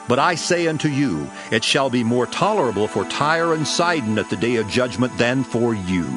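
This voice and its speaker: A man voicing Jesus in a dramatized Bible reading, solemn and measured with bursts of intensity. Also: mid-range voice, expressive, close to an everyday voice. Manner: solemn measured delivery, rising to intense exclamations. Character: Jesus, calm grown man teacher, solemn and gentle. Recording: studio voice with music beneath.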